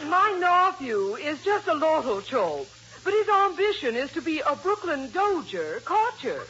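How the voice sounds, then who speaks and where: A woman's voice: high-pitched, big pitch swings, measured pace. An actress playing a flighty, friendly lady who mangles her vowels in an affected way, in 1940s radio comedy. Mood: chirpy. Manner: comically distorted, affected pronunciation. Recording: noisy, muffled old radio broadcast.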